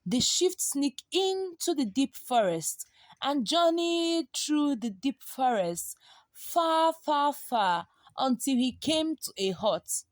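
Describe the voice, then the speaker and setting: Woman, unhurried pace, dry close sound, expressive dramatic storytelling, stretched words for emphasis. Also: high voice, no echo. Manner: expressive, with gasps and drawn-out repeated words for effect.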